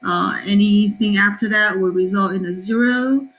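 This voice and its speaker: Brief: middle-aged woman teacher from Taiwan, upbeat, filler-heavy, mid-pitched voice. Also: a bit bright. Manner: cheerful, with many fillers and pauses. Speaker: math teacher. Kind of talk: class introduction talk.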